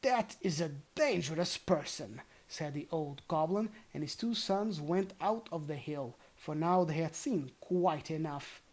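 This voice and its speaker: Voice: mid-range voice, a little slow, few pauses, darkish tone. A storyteller narrating a fairy tale informally, playfully correcting themself and dropping in casual asides. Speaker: storyteller reading aloud.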